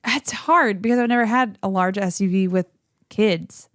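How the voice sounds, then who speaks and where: A woman's voice: medium pitch, moderate pace, no echo, studio quality. A woman in her thirties, a podcast guest, chatting casually and laughing often. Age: 30s. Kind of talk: casual chat.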